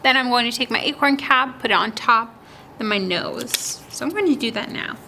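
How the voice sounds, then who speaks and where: A woman with a high, bright voice leads a story time for young children. She talks in an animated, child-directed way, posing questions and answering them as she goes. There is some steady background noise in the recording. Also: moderate pace. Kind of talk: read-aloud and craft instruction for young children.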